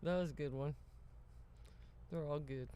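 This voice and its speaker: A woman's voice: low-pitched, steady tone, unhurried, dark tone, smooth. A woman co-hosting a livestream, chatting casually and greeting viewers in an informal way. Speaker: livestream co-host.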